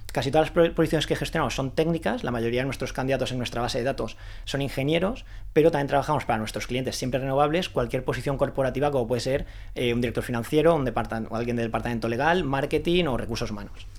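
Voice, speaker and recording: Fast-talking man, guest founder. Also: medium pitch. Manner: fast talker with many restarts and fillers. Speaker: founder of a renewable energy recruitment consultancy. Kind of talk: casual interview answers.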